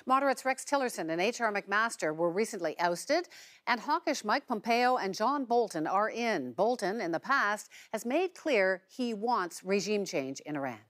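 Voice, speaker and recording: A woman's voice: mid-range voice, lively intonation, normal speed, a bit bright. A woman working as a news presenter, delivering scripted reports and putting questions to guests in a broadcast style.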